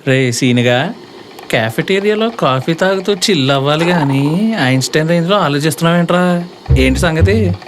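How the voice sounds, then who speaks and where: A man's voice: on the high side, lively intonation, moderate pace, natural voice. A man voicing a cocky, sarcastic young college friend in a comedy audio drama, full of schemes and slangy mockery, with music behind. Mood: teasing. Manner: mocking, slangy banter.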